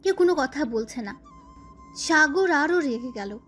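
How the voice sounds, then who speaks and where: A woman's voice: high voice, lively intonation, measured pace, fairly bright tone. A woman reading scripted narration for an audio story. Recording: music bed under the voice. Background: background music.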